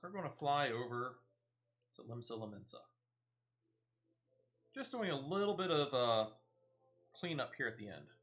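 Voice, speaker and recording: Lively, bright-voiced man commentating gameplay. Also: mid-range voice, normal speed, long pauses. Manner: chatty, thinks aloud. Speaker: gaming streamer.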